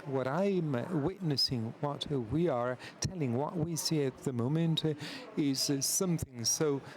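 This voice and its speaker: A man giving live interpretation at a conference, halting and full of filler sounds. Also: fairly high pitch. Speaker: simultaneous interpreter.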